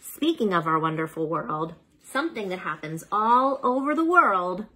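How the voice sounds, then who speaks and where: A woman reading a children's bedtime story aloud in an unhurried, expressive way, as if speaking to a child, with a slightly husky voice. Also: fairly low pitch, rough recording.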